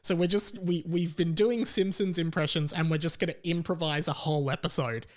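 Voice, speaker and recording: A man's voice: a little high, steady tone, fairly quick. An Australian man hosting a podcast, talking casually on his own in a rambling, opinionated, sweary way. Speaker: podcast host. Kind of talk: casual solo podcast chat.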